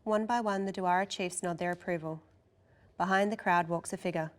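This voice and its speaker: A woman's voice: on the low side, steady tone, brisk. A woman reading scripted narration and stage directions as narrator at a table read.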